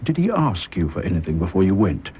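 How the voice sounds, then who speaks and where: An actor playing a stern, restrained British army major in a radio drama, formal and deliberate. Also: male voice, sits low, sing-song. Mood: grave, probing. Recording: muffled radio recording, clear background noise.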